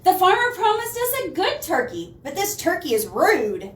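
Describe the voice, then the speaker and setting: A woman reads a cheerful, naive but bossy storybook lady. The voice is high and lively, with earnest emphasis, echoing in a room. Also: moderate pace, heavily acted. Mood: emphatic, excited.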